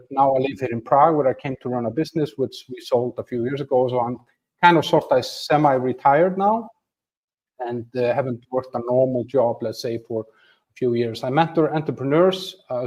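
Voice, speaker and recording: A man's voice: medium pitch, darkish tone, low-quality recording. A man in his fifties from Iceland hosting a livestream from home, talking casually to viewers, chatty and self-deprecating with many restarts. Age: 50s. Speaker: channel host. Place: home room with a flag behind him.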